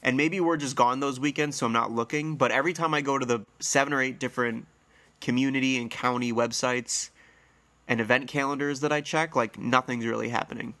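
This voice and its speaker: A man's voice: mid-range voice, fairly even intonation, fairly quick, pushed and bright. Congested, nasal man hosting a podcast. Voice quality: slightly hoarse. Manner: rambling, friendly storytelling with fillers. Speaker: podcast host. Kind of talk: casual solo podcast chat.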